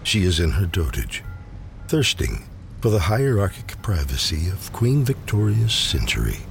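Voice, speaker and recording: A man reading poetry aloud in a dramatic recitation, with measured, deliberate phrasing and pauses. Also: low-pitched, wildly swooping pitch, gravelly, soft background music. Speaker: poetry reader. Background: faint ambient sound design.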